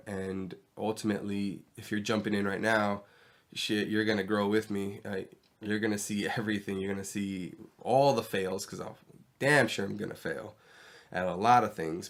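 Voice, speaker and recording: A man's voice: fairly low pitch, fairly bright tone. A man hosting his first home podcast, talking candidly and rambling with plenty of ums, his voice stuffy and nasal from a cold, recorded in a bedroom on a cheap mic. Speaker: podcast host. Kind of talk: casual solo podcast monologue. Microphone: inexpensive mic into a laptop.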